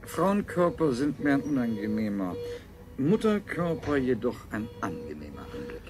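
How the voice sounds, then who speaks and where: A man's voice: medium pitch, sing-song, moderate pace, close to an everyday voice. A man playing a fussy, coldly proud colony scientist in a stylised radio play, speaking in a smug, clinical way. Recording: radio drama with prominent music.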